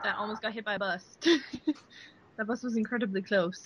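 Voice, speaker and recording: A woman's voice: medium pitch, fairly even intonation, brisk, a bit bright, breathy edge, rough recording. A woman casually commenting on a film while watching it with friends. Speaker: co-host of a film-watching show.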